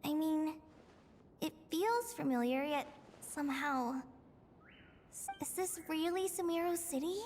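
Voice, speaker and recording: A woman's voice: sits high, animated, measured pace, long pauses, darkish tone, big-room sound, a little hiss or hum. A woman voicing a confused, earnest young female forest ranger trainee in a video game, hesitant and anxious. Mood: puzzled.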